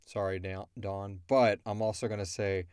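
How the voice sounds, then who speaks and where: A man's voice: on the low side, fairly even intonation, normal speed, a little mellow, smooth, no room sound, clean studio sound. A man hosting a casual chat, answering questions at length with opinionated, slangy asides. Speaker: podcast host answering listener questions.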